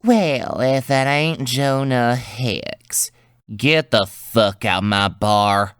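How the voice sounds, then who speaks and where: A man's voice: mid-range voice, very slow, fairly bright tone, clear, resonant tone, dry recording, clean studio sound. A man playing a surly, wary saloon bartender in a Western audio drama, blunt and folksy.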